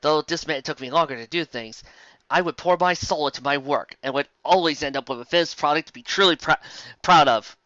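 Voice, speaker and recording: Casting: theatrical, mocking fanfic narrator, mid-pitched with a sharp forceful edge, on a slightly muffled close-sounding mic. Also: fairly quick, no echo. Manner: theatrical, exclamatory, sarcastic asides. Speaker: host of a fanfic reading show. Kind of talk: comedic dramatic reading with mocking commentary.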